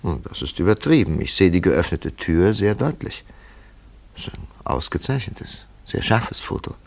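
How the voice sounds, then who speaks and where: An actor playing a thoughtful investigator in a radio drama, calm and deliberate as he ponders a mystery. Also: deep voice, gravelly, heavily acted. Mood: brisk, methodical. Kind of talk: scripted radio drama acting.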